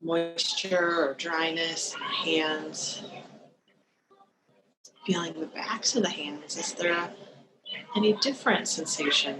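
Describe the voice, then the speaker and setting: A woman leading a guided meditation as a teacher, speaking in a calm, gentle, unhurried manner with long pauses, over a video call. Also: deep voice. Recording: noisy live video-call recording.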